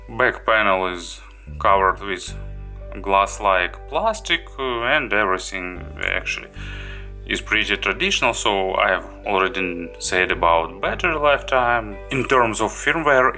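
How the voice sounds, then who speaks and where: Male tech reviewer, bright, forward tone on the lower side, halting off-the-cuff product demo delivery. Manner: halting, self-correcting delivery. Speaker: gadget reviewer. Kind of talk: unscripted product review walkthrough.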